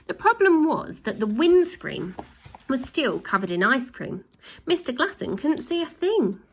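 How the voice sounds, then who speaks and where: A woman reading a children's storybook aloud, with lively voices and sound effects. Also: high voice, measured pace, breathy edge, rough recording. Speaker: storybook reader.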